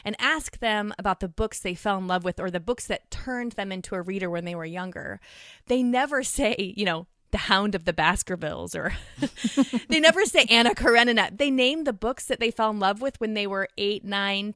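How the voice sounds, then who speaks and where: A woman speaking conversationally as a podcast guest, explaining things in an animated way. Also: mid-range voice, normal speed, dry recording, studio quality. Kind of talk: conversational interview.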